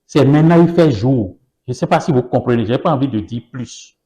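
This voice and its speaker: A man's voice: mid-range voice, lively intonation, brisk, mellow. A man whose mother tongue is Yoruba, a political guest in an interview, speaking emphatically with repeated phrases and rhetorical questions.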